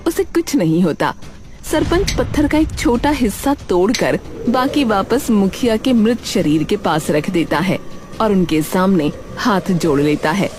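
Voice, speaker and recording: A woman narrating a scripted story in Hindi storytelling fashion. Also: fairly low pitch. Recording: loud background music. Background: music.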